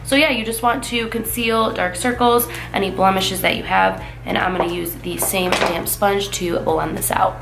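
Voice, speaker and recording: A young woman talks through a makeup tutorial in an upbeat, chatty way, full of little fillers. Her voice sits at an everyday middle pitch and sounds stuffy and nasal from a cold. Soft music plays faintly underneath. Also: steady tone, moderate pace, creaky, hardly any pauses. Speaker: beauty vlogger. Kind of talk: casual makeup tutorial talk.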